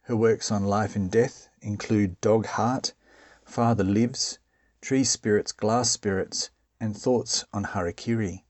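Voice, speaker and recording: A man narrating a scripted introduction in a measured, formal way. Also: lowish voice, fairly even intonation, dry recording. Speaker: program narrator.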